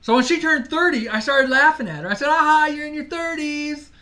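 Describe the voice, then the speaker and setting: Male livestream co-host from Michigan; relaxed, talkative, quick to laugh. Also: extremely high pitch. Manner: chatty, laughs easily, loses his place. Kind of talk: casual live-stream chat.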